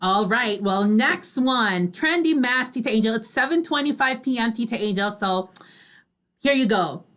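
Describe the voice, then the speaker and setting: High-pitched woman, chatty radio co-host. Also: expressive, normal speed, fairly bright tone. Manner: chatty, agreeable, frequent "like" and "you know". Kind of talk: casual chatty co-hosting.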